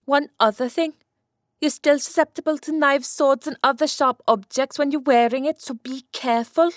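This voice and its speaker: A woman's voice: high-pitched, fairly even intonation, normal speed, no echo, clean studio sound, close to an everyday voice. A woman playing Santa's centuries-old wife, a practical, exasperated but caring inventor, matter-of-fact and bossy in an audiobook. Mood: practical, dry.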